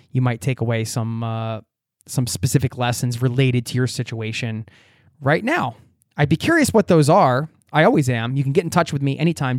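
A man hosting a travel podcast in a relaxed, chatty way, talking in a small side room of a restaurant. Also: mid-range voice, no echo, clean studio sound. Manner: relaxed, chatty, laughs easily. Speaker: podcast host. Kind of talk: casual interview chat.

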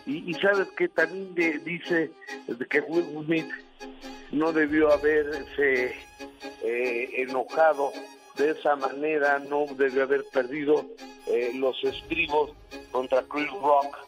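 Guy on radio doing celeb gossip, lots of ehs, quiet music underneath. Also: fairly high pitch, steady tone, slow pace, rough recording. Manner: hesitant, many "eh" fillers. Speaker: entertainment news commentator. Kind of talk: casual radio chat.

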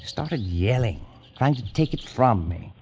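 A man's voice: medium pitch, expressive, unhurried, a little mellow, a little hiss or hum, natural voice. A man playing a scoffing, careless adventurer in an old radio play. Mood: evasive. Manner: flippant and scoffing, then hesitant. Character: careless arrogant male adventurer, later haunted. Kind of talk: old-time radio drama acting. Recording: lo-fi archival radio recording with music.

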